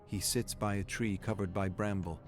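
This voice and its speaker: A man with a fairly low voice tells a grim horror tale as its narrator. His delivery is measured, grave and brooding, scripted and dramatic, with faint music underneath. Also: steady tone. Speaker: story narrator.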